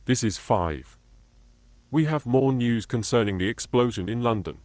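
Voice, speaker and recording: A man reading a scripted emergency broadcast announcement in a formal, measured manner, as a continuity announcer. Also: medium pitch, animated, darkish tone, dry recording.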